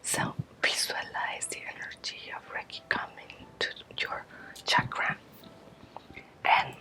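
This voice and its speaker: Woman, breathy whisper, native Spanish speaker, very slow halting phrases, ASMR guided lesson. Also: sharp tone. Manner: slow, halting, short phrases with long pauses. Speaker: Reiki teacher.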